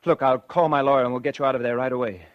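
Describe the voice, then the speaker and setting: A man's voice: mid-range voice, steady tone, rapid-fire, dull audio, natural voice. An actor playing a guilty, scheming man who confides his crime in 1940s radio suspense style. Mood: anxious, distressed. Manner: confessional first-person narration. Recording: vintage 1940s radio with loud music.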